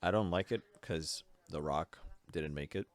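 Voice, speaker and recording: A man with an extremely low voice chats casually on a film podcast. He speaks as one co-host among a group, recorded close and dry. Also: a little slow, no room sound.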